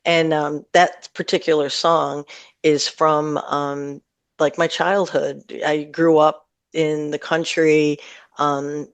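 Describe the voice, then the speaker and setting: A woman's voice: low-pitched, level tone, slow, smooth, dry recording. A woman with an American Midwest accent talks in a casual interview as a songwriter guest. She is reflective and lets her sentences wander as she thinks. The sound is dry, with very little room in it. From northern Michigan, USA. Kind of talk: casual radio interview chat.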